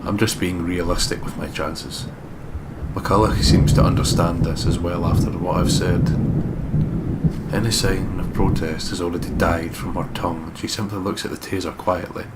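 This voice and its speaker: A man reading the part of a guarded, determined investigator narrating a horror visual novel in a measured, serious way. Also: low-pitched, little pitch movement, close to an everyday voice. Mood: brooding, serious. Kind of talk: dramatic scripted narration, visual novel read-aloud. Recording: clearly noisy home recording.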